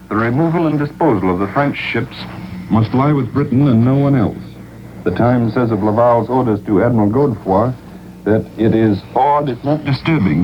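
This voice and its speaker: Male 1940s radio correspondent through heavy static. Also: mid-range voice. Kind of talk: scripted 1940s radio news report. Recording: very noisy muffled 1940s shortwave broadcast.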